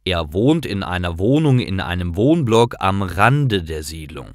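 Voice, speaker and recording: A man reads learner stories as a scripted narration. His voice is low and lively in intonation, with very slow, deliberate pacing. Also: no room sound, clean studio sound.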